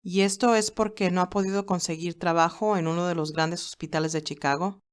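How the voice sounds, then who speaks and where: A woman's voice: lowish voice, steady tone, brisk, clean tone, close to an everyday voice. A woman voicing a loyal, businesslike male assistant who speaks politely and formally in an audio story. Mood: attentive, composed.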